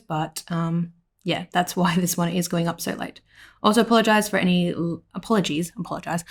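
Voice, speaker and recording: A woman with an Australian accent, slightly hoarse, giving a rambling voiceover at home full of "like" and "um". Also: fairly low pitch, darkish tone. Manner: rambling, with many "like" and "um" fillers. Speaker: planner vlogger. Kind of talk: casual voiceover narration.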